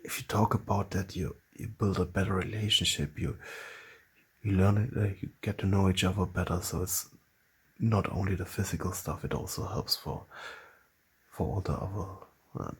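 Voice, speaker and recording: A man with a German accent, a native German speaker, hosting his own channel in a casual talk to camera and often pausing and restarting as he searches for words. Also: sits low, steady tone, slightly rough. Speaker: channel host.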